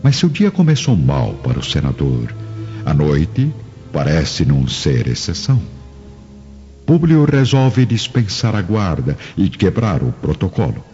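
A Brazilian man narrates a radio drama dramatically. His voice is deep and mellow with lively intonation. The recording is a little dull. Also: a little slow. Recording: dull lo-fi with music behind.

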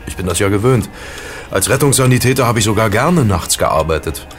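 A male actor plays an easygoing young man working as a night porter in a radio play. His voice is low, his talk loose and colloquial with a joking streak. Faint music sits behind him. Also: lively intonation, a little fast, close to an everyday voice. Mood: casual. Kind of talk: German radio play acting.

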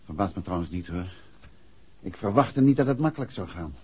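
Calm nosy detective, dutch accent, really husky, old muffled 60s radio drama. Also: male voice, sits low, brisk, full character voice. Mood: pensive. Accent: Dutch (Netherlands). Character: composed, inquisitive gentleman detective, courteous husband. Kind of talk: 1960s radio play acting. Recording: dull, muffled 1960s radio recording.

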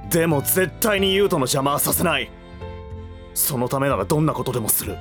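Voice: medium pitch, expressive, fairly quick, soft background music, natural voice. An actor playing a brash, protective teenage boy who speaks bluntly and emotionally in a voice drama. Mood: determined. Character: hot-headed protective teenage boy, rough-spoken. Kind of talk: voice drama acting.

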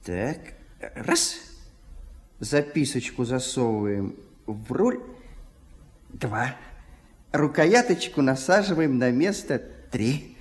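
A man's voice: medium pitch, expressive, slow pace, pauses often. A man playing a nonchalant, showy teenage lad in a radio play, casual in his delivery. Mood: showing off.

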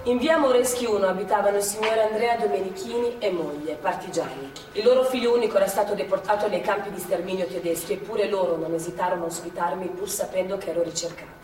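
Woman doing a calm partisan woman telling the story, sober, roomy stage from a distance. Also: mid-range voice, fast, few pauses, a crowd in the background, lightly acted. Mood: grave. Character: young woman partisan on the run, calm recounting. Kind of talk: stage narration. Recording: echoey stage from afar with noise.

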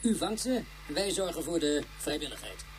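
A woman playing a cool, calculating senior mission commander in a radio drama, measured and formal. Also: low voice, sing-song, a little hiss or hum, poor audio.

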